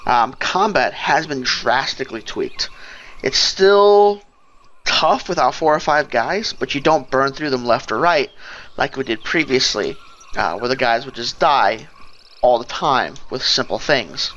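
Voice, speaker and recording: A man's voice: medium pitch, animated, a little slow, fairly bright tone, muffled recording. A man giving casual, rambling gameplay commentary, thinking aloud as he goes. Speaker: let's play host. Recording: game music behind the voice. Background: fantasy game music and sounds.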